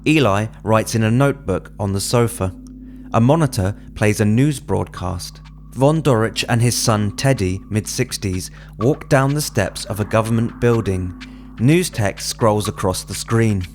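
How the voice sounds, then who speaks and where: A man's voice: fairly low pitch, measured pace, darkish tone. A man reading screenplay stage directions as a scripted drama narration. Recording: music under the voice.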